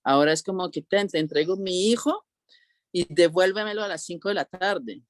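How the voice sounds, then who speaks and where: A woman's voice: on the low side, normal speed, no room sound, clean studio sound. A woman speaking as an interview guest in a reflective, informal way, often checking with short tag questions. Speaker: interview guest, cultural organizer.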